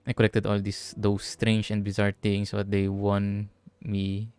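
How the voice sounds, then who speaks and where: A man's voice: on the low side, monotone, a little slow, a little mellow, smooth, faint music behind. A man from the Philippines, giving casual, sweary commentary while livestreaming a game. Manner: informal, sweary.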